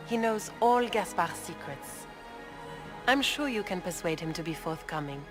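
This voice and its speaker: A woman's voice: lowish voice, expressive, normal speed, natural voice. A silkily cruel noblewoman villain from a fantasy game, voiced by an actress. She is aristocratic and theatrical, talking down to her listener with smug poise while music plays prominently behind her. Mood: smooth, conspiratorial. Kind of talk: dramatic video game acting.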